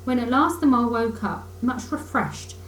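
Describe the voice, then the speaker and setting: A woman reading a children's book aloud in expressive storybook narration, like a teacher reading to pupils. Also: a little high, moderate pace. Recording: echoey room with faint noise.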